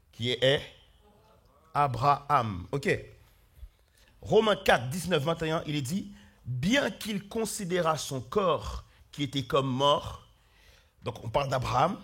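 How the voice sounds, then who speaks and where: Husky-voiced pastor with a cold. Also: male voice, on the high side, a bit bright. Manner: earnest, calls out questions to the congregation, many fillers and restarts. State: hoarse with flu. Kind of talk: sermon with opening prayer. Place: church hall.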